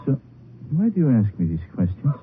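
An actor playing a big, stolid, grieving German immigrant with a German accent and halting, defensive broken English in a 1950s radio drama. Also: male voice, mid-range voice, wildly swooping pitch. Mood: wary, defensive. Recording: muffled, noisy 1950s radio recording.

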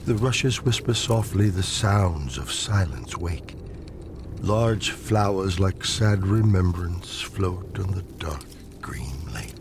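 A man giving a solemn, measured dramatic reading of poetry, often dropping into hushed, breathy phrase endings, as a poetry reader. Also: deep voice, expressive, background noise. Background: ambient sound design.

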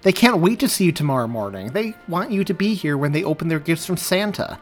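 A man's voice: on the high side, lively intonation, brisk, soft background music, voice pushed up. A man reading aloud as a wife and mother who grows irate and hurt, her voice near breaking. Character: upset woman, wife and mother.

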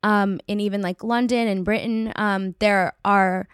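A woman's voice: medium pitch, fairly even intonation, unhurried, clear, resonant tone, dry recording. Young woman, twenties, student guest, chatty, many fillers, dry close sound. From California Bay Area. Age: 20s. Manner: chatty, lots of "like" and "um". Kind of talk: casual conversation.

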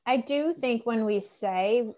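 A woman hosts a casual podcast chat in a slightly high voice. She is enthusiastic, and she keeps the guest going with quick affirming replies. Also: a little mellow. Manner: enthusiastic and affirming, many "mm" and "yeah" back-channels. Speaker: podcast host.

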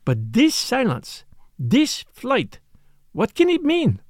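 A man's voice: extremely high pitch, huge pitch swings, no room sound, clean studio sound, voice pushed up, exaggerated voice. A man voicing an elderly, courteous detective in a storybook, with a formal, pleading manner. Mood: grave urging. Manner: formal, pleading, dramatic pauses. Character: elderly Belgian male detective, courteous, grave, insistent.

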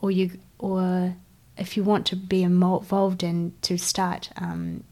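A woman guest in an interview, speaking hesitantly with many pauses and fillers in a soft, breathy way. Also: lowish voice, level tone. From China. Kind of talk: casual interview conversation.

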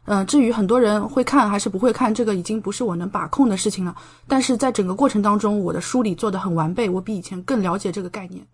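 Chatty young woman, medium-pitched voice. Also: fast, hardly any pauses, husky. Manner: enthusiastic, expressive, chatty. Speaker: podcast guest. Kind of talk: casual chat.

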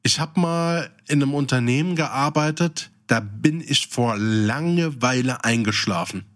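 Jokey man with a medium-pitched voice. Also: unhurried, fairly bright tone. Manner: informal, slangy, jokey. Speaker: podcast host. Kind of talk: casual comedic chat and anecdotes.

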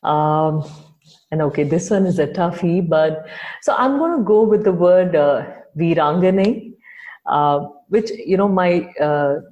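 A woman's voice: lowish voice, lively intonation, measured pace, darkish tone, dull audio. A woman from Chennai, a Tamil speaker, answering podcast interview questions thoughtfully as an entrepreneur guest. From Chennai, India.

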